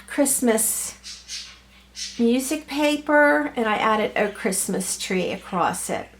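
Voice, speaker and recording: Slightly hoarse woman, higher pitch, very unhurried meandering craft-tutorial delivery. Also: expressive. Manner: gentle, meandering, many ums and uhs. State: has a cold, a little hoarse. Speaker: crafter showing her ornaments. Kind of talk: slow, chatty craft tutorial.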